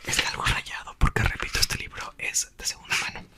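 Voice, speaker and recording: Male ASMR reader, breathy whisper, lively bright tone. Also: fairly quick. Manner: soft unvoiced whisper throughout. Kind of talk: whispered ASMR book reading.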